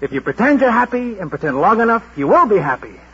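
Brash, bright-voiced cocky working man, old radio. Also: high-pitched, very animated, quick pace, slightly rough, full character voice. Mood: exuberant, boastful. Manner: declamatory, bossy, cocky. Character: brash, boastful, cheerful Parisian sewer worker. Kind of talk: golden age radio drama acting. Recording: muffled vintage radio broadcast with noise.

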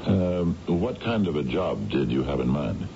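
A man playing an imposing, courteous financier in his fifties in a radio drama, measured and formal. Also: sing-song, gravelly, exaggerated voice. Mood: wary. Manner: measured, formal, hesitant openers. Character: imposing, courteous fifty-five-year-old male financier. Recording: dull, noisy, rumbling radio recording.

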